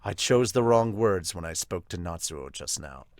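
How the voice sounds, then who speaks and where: Man voicing a low, brooding middle-aged hero. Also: expressive, normal speed, dry recording, studio quality. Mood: remorseful. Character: brooding middle-aged male hero, stern, remorseful father. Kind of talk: anime manga fan dub.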